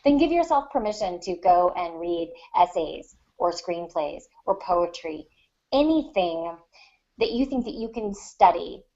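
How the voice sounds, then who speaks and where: Female podcast co-host, lowish register, emphatic casual advice-giver, slightly dull recording. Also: a little mellow. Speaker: podcast co-host, fiction writer. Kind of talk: casual panel discussion, giving writing advice. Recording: dull lo-fi online podcast audio.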